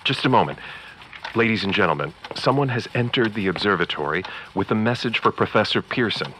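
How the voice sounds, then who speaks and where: Low, forceful, pompous radio reporter. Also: male voice, animated, brisk, a little hiss or hum, rough recording. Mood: grave, formal. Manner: formal, theatrical, condescending. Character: pompous sexist male radio field reporter. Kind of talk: 1930s radio news acting.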